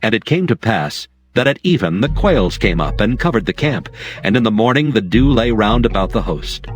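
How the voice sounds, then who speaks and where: A man's voice: on the low side, big pitch swings, moderate pace, faint music behind. A man narrating dramatized scripture in a solemn, formal storytelling manner.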